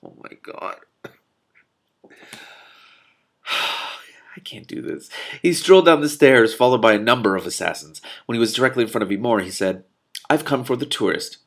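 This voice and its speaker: A man's voice: mid-range voice, moderate pace, pauses often, a bit bright. A man reading a novel aloud expressively as host, breaking off with amused casual comments.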